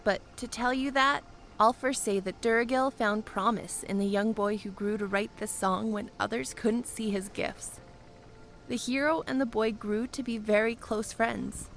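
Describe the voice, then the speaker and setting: A woman's voice: on the high side, slight background noise. A woman playing an earnest, wistful young airship pilot in a fantasy audio drama.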